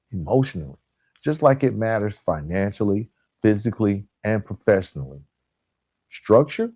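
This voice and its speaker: A man's voice: mid-range voice, darkish tone, slightly rough. Earnest male motivational podcast host. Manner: earnest, emphatic, speaking in short deliberate phrases with repetition. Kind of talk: motivational self-improvement podcast monologue.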